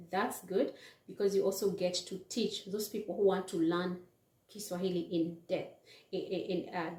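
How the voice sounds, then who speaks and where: A woman's voice: on the low side, a little mellow. Earnest Kenyan woman in an echoey room. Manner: earnest, repeats words and restarts with many fillers. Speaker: vlogger, English teacher. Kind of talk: casual vlog talk to camera.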